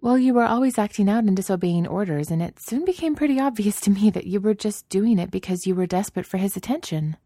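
A woman reading a story aloud, voicing a calm, loving young woman, a little higher than her narration. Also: fast, few pauses, dry recording, clean studio sound. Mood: gently reassuring.

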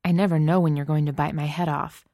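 A woman's voice: fairly low pitch, normal speed, vocal fry, a little mellow, no echo, clean studio sound. A woman doing a condescending young boyfriend. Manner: condescending, placating.

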